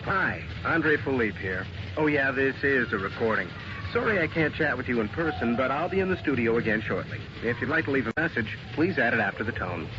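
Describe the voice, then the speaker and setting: A breezy, courteous man speaks on answering-machine playback in a radio drama. His voice is medium-pitched. The sound is muffled and noisy. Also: animated, fairly quick. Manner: casual, courteous recorded greeting. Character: breezy male photographer on answering-machine greeting. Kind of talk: 1980s radio drama acting.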